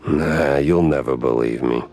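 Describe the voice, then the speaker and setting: An actor playing a weary, wisecracking mouse private eye in a noir cartoon game, with a sardonic, slangy delivery. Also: male voice, rumbling low, measured pace, a little mellow. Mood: dry, uneasy. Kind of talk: 1930s noir cartoon detective acting.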